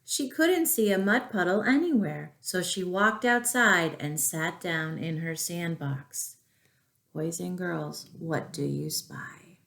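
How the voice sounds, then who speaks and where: A woman reading a storybook aloud to young children, expressive and deliberate, with asides to the listeners. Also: fairly low pitch, gravelly. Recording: echoey room.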